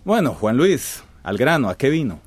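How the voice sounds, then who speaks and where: A man's voice: mid-range voice, big pitch swings, moderate pace, husky. A man acting an arrogant, self-assured company boss with a curt, imperious manner in a radio soap opera. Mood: irritated, curt. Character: arrogant, scheming male company boss, sweet-talking and curt.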